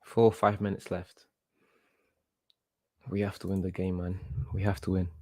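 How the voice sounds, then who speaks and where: A young man from Portugal, about eighteen, hosting a casual football watchalong stream in an excitable, reactive way and often repeating himself. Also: sits low, normal speed, no room sound. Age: young adult, about 18. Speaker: livestream host.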